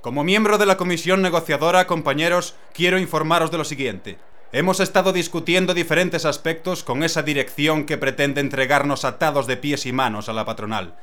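Formal union negotiator for a radio play, played by a man, slightly high voice, Spanish accent. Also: brisk, fairly bright tone, close to an everyday voice. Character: formal male union negotiator reporting back. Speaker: negotiating committee member.

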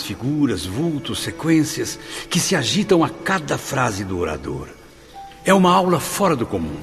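Humble spirit telling his story, radio drama, mid-pitched, reverent and earnest, music loud behind. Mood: awestruck. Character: humble, awestruck male spirit narrating his memoirs.

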